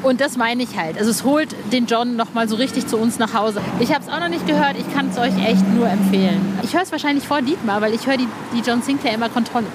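A woman hosting a podcast in a casual, chummy, informal way, with a slightly husky voice. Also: a little high, fast talker, hardly any pauses, very noisy. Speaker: podcast host. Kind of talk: casual chat and podcast presenting.

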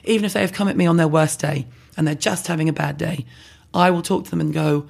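A husky-voiced woman speaking bluntly and animatedly as a podcast guest in a candid conversation. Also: low voice, fast talker. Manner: blunt, animated, self-deprecating. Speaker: skincare blogger guest.

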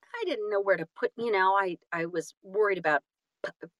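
A woman's voice: mid-range voice, moderate pace, no echo, clean studio sound. A woman telling stories casually as an interview guest, self-deprecating and emphatic in manner. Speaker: guest, audiobook narrator and actress.

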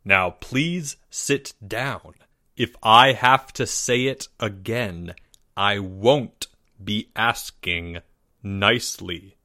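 A man voicing a strict male schoolteacher who talks in a quiet, clipped, controlled way. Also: lowish voice, drawn-out words, no room sound, studio quality, pitched below the performer's own voice, exaggerated voice. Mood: stern quiet.

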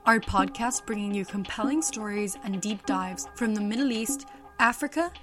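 A woman hosting a news podcast, reading scripted narration in a measured, even way. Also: mid-range voice, over music.

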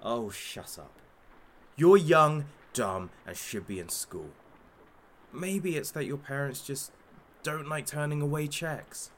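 A man's voice: on the high side, lively intonation, slow. Actor as a sneering, theatrical, swooping male captor. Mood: scornful. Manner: mocking, sarcastic, pompous. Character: menacing, sneering, theatrical captor interrogating a young influencer. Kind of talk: audio drama villain monologue.

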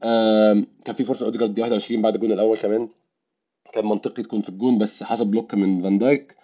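A hoarse Egyptian man with a worn-out voice, hosting a casual football discussion in Egyptian Arabic. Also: lowish voice, flat delivery, racing, mellow. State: hoarse, voice worn out after the match. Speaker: football podcast host. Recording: dull, slightly muffled microphone.

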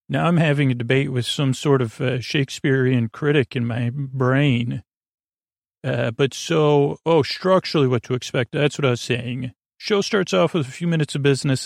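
A man hosting a sleep podcast with a meandering, halting monologue, often stammering and drifting off on tangents. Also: mid-range voice, creaky, no echo, studio quality. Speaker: podcast host.

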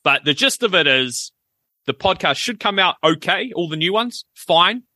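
Bright-voiced man, dry close sound. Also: high voice, lively intonation, moderate pace, no room sound. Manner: chatty, wry, explains at length. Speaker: podcast co-host. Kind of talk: casual chat.

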